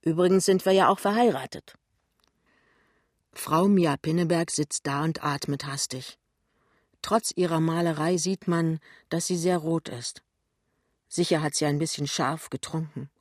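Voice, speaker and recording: A woman with a fairly low voice narrates a literary novel. Her scripted storytelling is captured very dry, without room sound. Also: fairly even intonation, measured pace, no echo.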